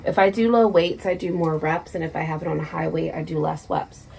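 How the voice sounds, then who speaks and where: Creaky-voiced woman chatting casually. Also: low voice, a little fast, a little mellow, a little hiss or hum, low-quality recording. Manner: rambling, swears freely. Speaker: vlogger. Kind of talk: casual chat, personal vlog. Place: small indoor room at home.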